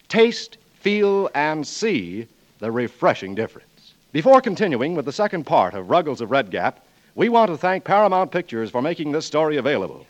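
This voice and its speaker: A man working as a 1940s radio announcer, reading scripted copy in a polished, emphatic style. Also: medium pitch. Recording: muffled vintage 1940s radio broadcast.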